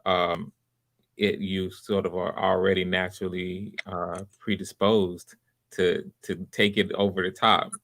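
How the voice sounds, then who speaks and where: Man, lowish voice, encouraging, conversational, casual livestream host. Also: level tone, measured pace, a bit bright, a little hiss or hum. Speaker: host and casting director of live auditions. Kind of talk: casual livestream feedback and hosting. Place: live video call stream.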